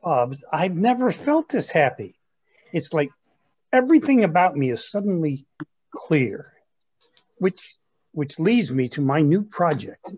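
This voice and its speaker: Husky, excitable young grandson, acted by a man. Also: on the high side, muffled recording, heavily acted. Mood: enthusiastic. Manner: eager, halting, placating. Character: earnest, self-absorbed, excitable 23-year-old grandson. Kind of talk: staged reading of a comic play.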